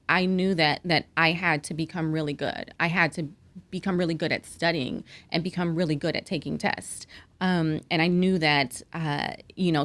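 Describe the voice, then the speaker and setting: A woman doctor speaking as a podcast guest, telling her story in an earnest, reflective way with frequent fillers. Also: low-pitched. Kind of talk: conversational interview.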